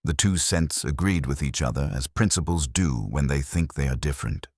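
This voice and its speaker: A man reading a mystery story as a narrator, in a measured, dryly understated literary manner. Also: extremely low pitch, animated, darkish tone, slightly rough, no room sound, studio quality. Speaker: audiobook narrator. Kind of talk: scripted narration of a mystery novel.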